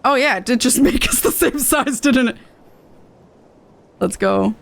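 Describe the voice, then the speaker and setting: A woman streaming a video game and chatting casually, playful, expressive and gushing, with game music behind her. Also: fairly high pitch, unhurried, gravelly, a little hiss or hum.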